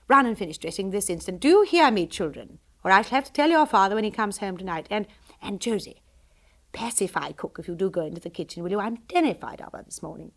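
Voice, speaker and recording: Lady doing a fussy posh mum, bossy and quick, barking orders around the house. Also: mid-range voice, fairly bright tone. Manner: brisk, commanding, a little flustered. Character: bustling, fussy upper-class mother.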